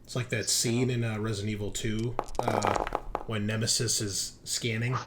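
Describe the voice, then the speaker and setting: A man's voice: fairly low pitch, flat delivery, normal speed, a bit bright, a little hiss or hum. A man acting as game master, explaining rules and narrating a tabletop roleplaying game in a conversational, explanatory way.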